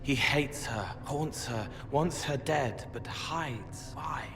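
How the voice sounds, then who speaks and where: An actor playing a strange, earnest young male spirit in a fantasy game, speaking in cryptic, halting fragments. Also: medium pitch, over music. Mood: hushed, troubled. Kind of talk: video game character acting.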